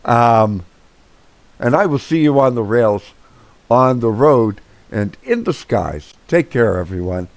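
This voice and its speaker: A man with a hoarse voice who says he is losing it, chatting casually over gameplay as the host of his own channel, rambling with many fillers and restarts. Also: mid-range voice, expressive, slow pace, no echo.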